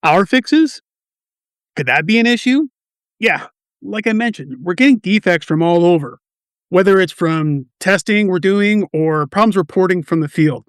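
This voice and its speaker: A man's voice: high voice, animated, normal speed, clear, resonant tone, no room sound, clean studio sound. A man playing a stressed, defensive quality assurance manager in a radio play, touchy and wry. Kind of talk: amateur radio play dialogue.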